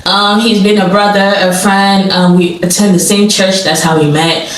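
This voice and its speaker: A woman's voice: medium pitch, level tone, normal speed. A young woman hosting a podcast in a casual chat, giggly and chatty with plenty of fillers. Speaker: podcast host. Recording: echoey room, distant mic, faint noise.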